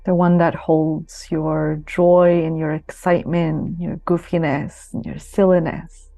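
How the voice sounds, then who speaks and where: Female yoga nidra guide: soothing, unhurried, creaky at the edges, recorded close and dry. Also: low-pitched, level tone, dry recording. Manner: soothing, unhurried, with long pauses. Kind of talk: guided meditation. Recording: close dry voice over soft music. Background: soft music.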